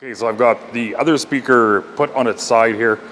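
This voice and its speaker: A man giving a casual, unscripted technical walkthrough as a repairer, halting as he thinks aloud. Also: medium pitch, fairly bright tone, in a large hall, slight background noise. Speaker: speaker restorer presenting a repair video.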